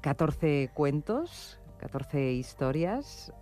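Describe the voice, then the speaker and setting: A woman interviewing a writer, asking scripted questions in a measured, composed presenter manner. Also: sits low, big pitch swings, mellow, faint music behind. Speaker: radio interviewer.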